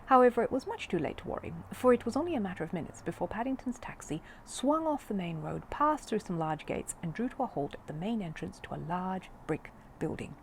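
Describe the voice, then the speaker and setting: A woman reading a children's story aloud in an expressive narrating style. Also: medium pitch, fairly quick, vocal fry, hardly any pauses, a little hiss or hum. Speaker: audiobook reader of a children's book.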